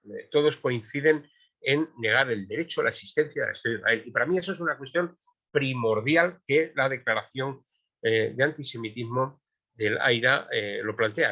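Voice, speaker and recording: Man, forceful bright voice, emphatic arguing, online panel guest, muffled lo-fi audio. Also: medium pitch, breathy edge. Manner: emphatic, argumentative, many fillers.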